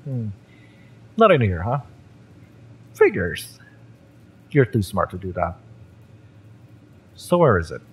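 A man playing a cocky, exasperated mercenary in a romance audio roleplay, sardonic and menacing in a deliberate, taunting way. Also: lowish voice, wildly swooping pitch, a little hiss or hum, exaggerated voice. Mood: stern, threatening. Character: fiery male mercenary, cocky, exasperated, menacing yet familiar.

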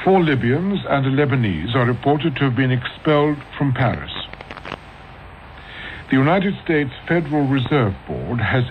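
A man reading a formal radio news bulletin. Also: mid-range voice. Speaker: newsreader. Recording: dull noisy old radio broadcast.

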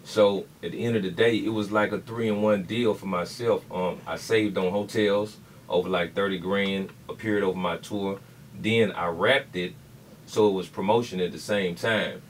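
A man's voice: low voice, fairly even intonation, moderate pace, slight background noise. A man with a Louisiana Southern accent, chatting in a laid-back, agreeable way as a guest on a radio show. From Baton Rouge, Louisiana. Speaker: rapper, interview guest. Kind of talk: casual interview chat. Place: small radio studio with people around. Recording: distant camera audio in studio.

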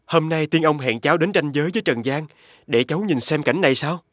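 An actor playing a polite, poetic, homesick young scholar in an animated fairy tale. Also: male voice, fairly high pitch, a little fast, no echo, clean studio sound, natural voice. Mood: reflective. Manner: polite, formal.

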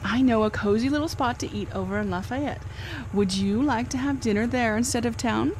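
An actress playing Candy Matson, a brisk, wry, no-nonsense private eye who also narrates. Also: female voice, fairly high pitch, slight background noise, close to an everyday voice, low-quality recording. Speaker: narrator and lead detective. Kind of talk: live 1950s radio drama acting.

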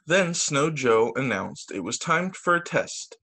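Expressive male teacher reading a children's story. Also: mid-range voice, unhurried. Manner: expressive, sing-song storytelling for young children. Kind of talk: children's story read-aloud. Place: indoors, on a video call. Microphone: video call microphone.

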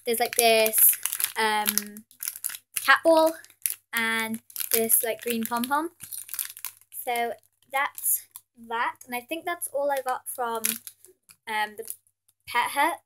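British teen girl vlogger, high voice, stuffed up with a cold, rambly and apologetic. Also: takes it slowly, long pauses, fairly bright tone, slight background noise. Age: teens. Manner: rambling, full of fillers and apologies. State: has a cold, sniffly. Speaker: pet channel host. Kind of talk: casual haul vlog. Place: indoors at a table with Christmas decorations. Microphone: camera filming her hands from above.

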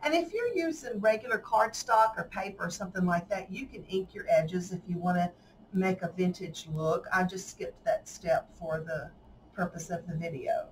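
A woman's voice: fairly low pitch, normal speed, distant mic, poor audio. A woman with a Southern drawl walks through a craft project in a folksy, chatty way. Her voice sits slightly away from the mic in an indoor room with faint background noise. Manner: chatty, folksy, laughs often. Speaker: craft tutorial host. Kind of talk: casual hands-on craft demonstration.

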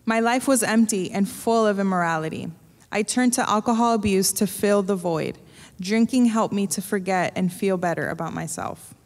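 A woman's voice: medium pitch, moderate pace. A woman interpreting a testimony, reading a prepared translation steadily, phrase by phrase, on a church stage. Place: church stage before an audience. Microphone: stand microphone. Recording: long reverb of a large church hall.

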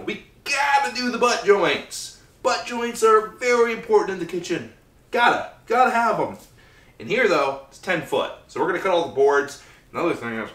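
A folksy man talks his viewers through a home renovation in a relaxed, chatty way. His voice sits at a middle pitch. The sound bounces around a bare, echoey room. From Maine. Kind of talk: casual DIY vlog narration. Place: farmhouse interior under renovation. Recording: roomy echo of a bare room.

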